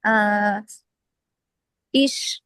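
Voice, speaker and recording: Slow, careful Filipino woman in her twenties. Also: mid-range voice, fairly even intonation, fairly bright tone, clean tone, dry recording. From Philippines. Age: 20s. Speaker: student.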